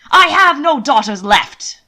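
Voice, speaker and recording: A woman's voice: high-pitched, big pitch swings, voice pushed up, exaggerated voice. A woman voicing a middle-aged male earl in a period audiobook, blustering and indignant, with emphatic delivery. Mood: loud indignation. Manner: loud, emphatic, slow deliberate outrage.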